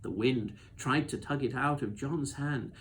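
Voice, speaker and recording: A man reading a children's novel aloud as expressive storybook narration. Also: medium pitch, measured pace, a little hiss or hum.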